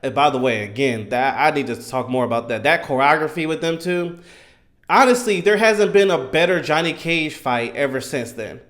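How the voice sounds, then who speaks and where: A man in his thirties giving casual, enthusiastic fan commentary in an animated, emphatic, slangy way. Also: fairly high pitch, moderate pace. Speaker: gaming content creator.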